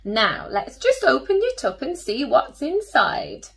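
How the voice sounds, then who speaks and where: A woman voicing a kindly, firm little old lady in a children's storybook, brisk and reassuring. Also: sits high, huge pitch swings, creaky, a bit bright, heavily acted. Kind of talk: children's storybook read-aloud.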